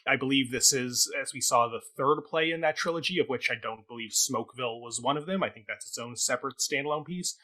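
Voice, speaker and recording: A man's voice: medium pitch, quick pace, hardly any pauses, pushed and bright. A man giving a casual review as a talk show co-host, in a hesitant, rambling, conversational way.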